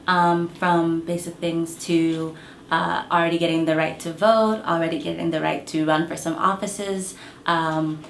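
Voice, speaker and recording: A young adult woman from Kenya giving thoughtful, measured interview answers with frequent pauses and fillers. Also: on the low side, creaky, echoey room, slight background noise.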